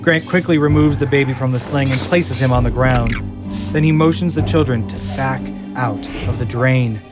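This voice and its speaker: A man's voice: medium pitch, normal speed, over music. A man narrating an audio drama in a scripted, dramatic storytelling style.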